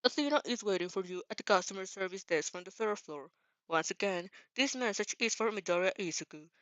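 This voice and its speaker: A woman voicing a formal mall announcer in a measured public announcement for a fan fiction reading. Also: mid-range voice, expressive, a bit bright, no echo, natural voice, poor audio.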